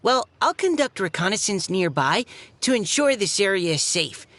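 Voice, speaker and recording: A woman's voice: medium pitch, very animated, moderate pace, a bit bright, dry recording. A woman voicing a timid, earnest young boy soldier in an anime video game, hesitant and nervously stammering. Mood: flustered.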